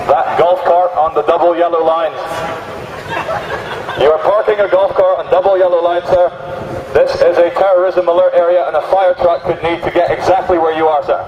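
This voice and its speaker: Male, megaphone, higher-pitched voice, deadpan satirical street announcements, noisy outdoor traffic setting. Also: dull audio. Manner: deadpan mock-official satire. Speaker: street performer activist. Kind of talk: mock public announcements to passers-by. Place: outdoors on a busy city street.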